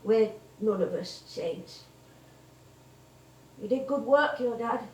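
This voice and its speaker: An actress playing an elderly English music hall comedienne on stage, chatty, rambling and nostalgic. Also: female voice, on the high side, lively intonation, slow pace. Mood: wistful. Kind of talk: theatrical stage monologue and dialogue. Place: theatre stage. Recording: echoey theatre, distant mic.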